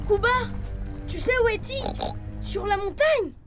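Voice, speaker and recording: Very high earnest leopard cub. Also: female voice, lively intonation, measured pace. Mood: worried, urgent. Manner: childlike, urgent, earnest. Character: earnest, caring young male leopard cub. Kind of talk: children's cartoon dub acting. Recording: music behind the voice.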